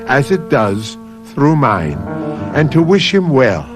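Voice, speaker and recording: A man hosting a radio mystery show with theatrical, deliberate phrasing and dramatic pauses. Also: medium pitch, big pitch swings, over music. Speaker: radio mystery host and producer.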